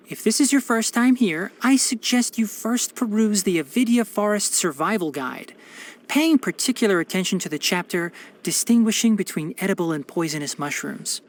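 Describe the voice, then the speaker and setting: A young man voicing a scholarly, wry fox-eared forest watcher in a video game, speaking precisely and matter-of-factly. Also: high-pitched. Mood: composed, instructive. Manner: precise, articulate, matter-of-fact with dry sarcasm. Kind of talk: scripted video game character voice lines.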